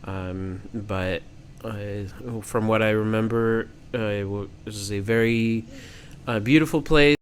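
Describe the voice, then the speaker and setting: A young man from Peru chatting on a podcast, talking with many pauses and fillers. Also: on the low side, a little hiss or hum. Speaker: podcast co-host. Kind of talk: casual chat.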